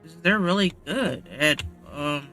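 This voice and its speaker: A man giving casual gaming commentary in a halting, musing way. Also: on the high side, expressive, sharp tone, faint music behind. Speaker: gaming streamer.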